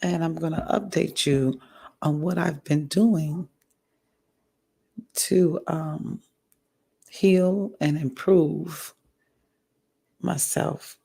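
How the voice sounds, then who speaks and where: A woman with a deeply raspy, gravelly voice talks slowly and haltingly, pausing often to clear her throat. Her voice is husky and strained from hoarseness as she gives a candid personal update to her audience. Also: warm tone. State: hoarse, recovering from a stroke. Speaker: vlogger. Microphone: audio only, home recording.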